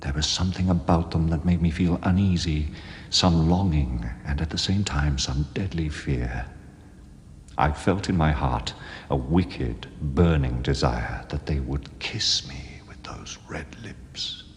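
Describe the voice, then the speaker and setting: A spellbound young traveller. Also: male voice, very deep voice, measured pace, slight background noise. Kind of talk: dramatic reading of a novel excerpt. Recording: long reverb, faint ambience.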